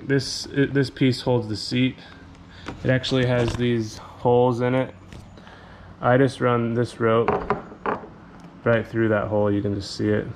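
A man, casually walking through a DIY kayak build and explaining each step as he goes. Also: medium pitch. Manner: relaxed, explanatory, repeats himself. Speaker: fishing channel host. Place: garage. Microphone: action camera on head or chest. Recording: camera mic with clear background noise.

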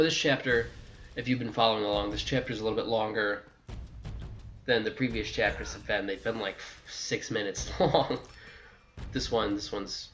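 A man's voice: medium pitch, fairly bright tone. Rambling man doing casual game commentary over music. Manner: rambling, self-correcting, jokey asides to the game. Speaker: video game walkthrough host. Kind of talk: casual gameplay walkthrough commentary.

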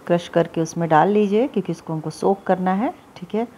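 A woman's voice: lowish voice, fairly quick, dark tone, a little hiss or hum. A woman talking through a home recipe in a chatty, easygoing way, often checking in with "okay" as she goes. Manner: chatty, repeats "theek hai" often. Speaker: cooking channel host. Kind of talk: casual recipe demonstration. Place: home kitchen, voice-over.

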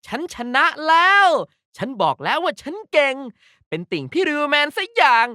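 A woman voicing a cute, energetic, pouty young girl mascot for a card game, playful and emphatic in an anime-like style. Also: sits high, normal speed, dry recording, studio quality, exaggerated voice. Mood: excited, boastful. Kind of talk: character voice pack lines, anime-like acting.

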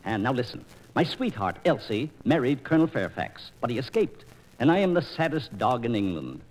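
An actor playing a witty, weary English court jester in 1940s radio operetta, with theatrical, rhythmic delivery and archaic phrasing. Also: male voice, mid-range voice, big pitch swings, normal speed, darkish tone, rough recording. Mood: scheming. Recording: 1940s radio transcription disc.

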